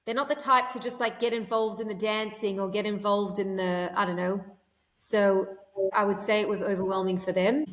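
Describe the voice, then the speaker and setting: A woman's voice: medium pitch, steady tone, normal speed. Chatty woman in an echoey room. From Australia. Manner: chatty and rambling, with frequent "like" fillers and restarts. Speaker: podcast guest. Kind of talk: conversational interview. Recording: echoey room with long reverb.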